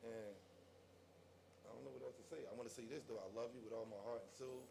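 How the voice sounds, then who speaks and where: A man with a deep voice gives a heartfelt, unscripted speech, his pitch in the middle of the range. He speaks away from the microphone in a council chamber. Clear background noise fills the recording. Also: fairly even intonation, quick pace. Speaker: family member speaking at a council meeting.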